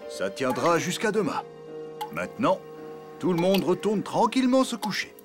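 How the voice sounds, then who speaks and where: A man's voice: sits high, huge pitch swings, normal speed. A man playing a cheerful, boastful elephant dad in a children's cartoon, reassuring and theatrical. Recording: music behind the voice.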